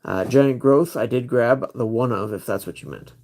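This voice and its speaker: A man's voice: mid-range voice, moderate pace, a little mellow. A man hosting a casual livestream, thinking aloud as he picks cards. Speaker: livestream host.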